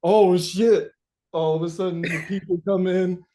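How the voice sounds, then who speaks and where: A man's voice: sits high, fairly even intonation, unhurried, dark tone. A man being interviewed as a musician, chatting casually and reflectively, searching for his words with informal, sweary phrasing.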